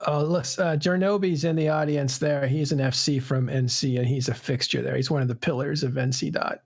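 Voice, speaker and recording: A man's voice: a little high, steady tone, brisk, gravelly. A man hosting a casual panel podcast, rambling and correcting himself as he goes. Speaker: podcast host.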